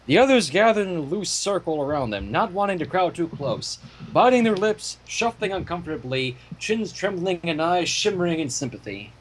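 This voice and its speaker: A man reading a fan fiction story aloud as a dramatic, theatrical narration with deliberate delivery. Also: high-pitched, sing-song, a little hiss or hum, low-quality recording.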